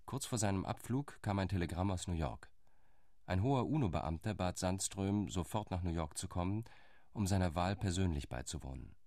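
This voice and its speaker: An actor playing a calculating delegate in a radio play, reporting the news in a cool, matter-of-fact way. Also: male voice, deep voice, lively intonation, moderate pace, mellow, no room sound, clean studio sound.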